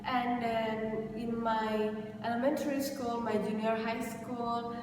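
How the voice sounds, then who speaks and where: A woman's voice: on the high side, level tone, slow. A young Indonesian woman whose first language is Indonesian, speaking English with an Indonesian accent as a student presenter, explaining carefully with some hesitant fillers. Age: young adult. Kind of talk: class video presentation. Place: classroom, indoors. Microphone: camera mic from a few meters. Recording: echoey room, distant mic, noisy.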